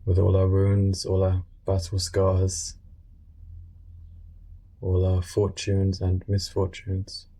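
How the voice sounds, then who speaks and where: A man guiding a meditation in a gentle, unscripted way, speaking informally and soothingly in short phrases with pauses. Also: sits low, slightly rough. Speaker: meditation guide.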